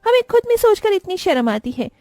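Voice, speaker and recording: Actress for snobbish young rich sister in animated moral tale; high-pitched, scornful, scolding, dry close sound. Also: female voice, fairly quick, no room sound. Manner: haughty, scolding. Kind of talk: animated moral-story acting.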